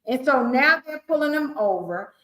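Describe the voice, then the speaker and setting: A woman's voice: sits high, expressive, normal speed, bright, forceful tone. A woman from Chicago with a Southern-sounding accent, hosting a talk show and speaking emphatically and outspokenly. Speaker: host. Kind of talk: podcast discussion. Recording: echoey room.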